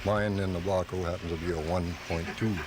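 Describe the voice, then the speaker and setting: A man with a deep voice gives casual interview answers as a hobbyist. He explains things in a plain, modest way, with clear noise behind him on a home-taped live radio recording. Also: level tone, a little fast.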